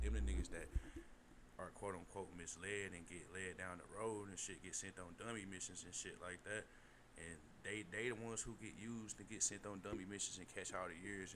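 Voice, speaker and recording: A man giving casual reaction commentary on a podcast clip, lecturing in fast, slangy street talk. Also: low voice. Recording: noisy background.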